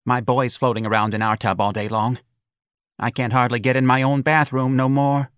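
A man's voice: medium pitch, little pitch movement, moderate pace, fairly bright tone, smooth, dry recording, studio quality, pitched above the performer's own voice, natural voice. A man voicing a weary, complaining working-class mother in an audiobook novel.

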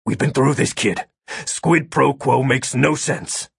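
A man voicing a gruff, exasperated male government agent, growling and terse, weary and irritated, in a comic story. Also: mid-range voice, slow pace, no echo, clean studio sound, heavily acted.

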